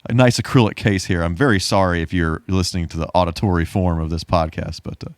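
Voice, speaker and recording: A man's voice: low voice, steady tone, fast, clear, resonant tone, no room sound, clean studio sound. A man hosting a podcast in a casual, playful style with a goofy bit of showmanship. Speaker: podcast host.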